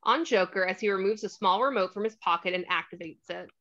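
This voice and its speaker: A woman's voice: mid-range voice, brisk, a bit bright. A woman reading scripted stage directions as narrator of a screenplay table read, on a slightly dull microphone.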